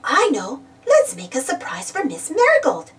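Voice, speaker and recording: A woman voicing an excited, eager young female puppy in a children's storybook. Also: sits high, moderate pace, far from the mic, voice pushed up.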